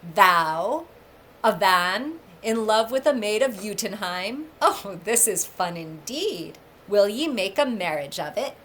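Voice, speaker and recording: A woman's voice: mid-range voice, lively intonation, takes it slowly, fairly bright tone, a little hiss or hum. A woman voicing a venturesome, mocking male servant of the gods in a teasing, brazen, theatrical storybook manner. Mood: amused mockery.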